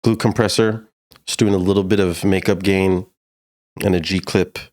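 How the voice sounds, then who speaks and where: A man's voice: low-pitched, steady tone, normal speed, a little mellow. A man giving a casual, slangy music production tutorial in a laid-back but enthusiastic way.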